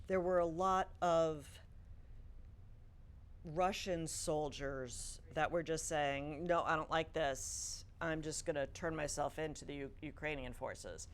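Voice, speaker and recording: A woman hosting an interview podcast, chatty and quick with short interjections. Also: low-pitched. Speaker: podcast host.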